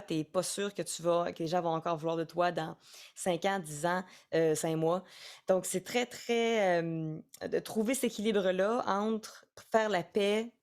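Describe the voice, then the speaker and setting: Chatty Quebec French woman, lower voice. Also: darkish tone. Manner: chatty, many restarts and repeated words. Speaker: singer-songwriter guest. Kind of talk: casual interview chat.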